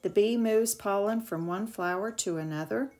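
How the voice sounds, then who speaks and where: A woman reading a children's picture book aloud with expressive, deliberate phrasing for young listeners. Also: mid-range voice, faint music behind. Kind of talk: read-aloud children's storytelling.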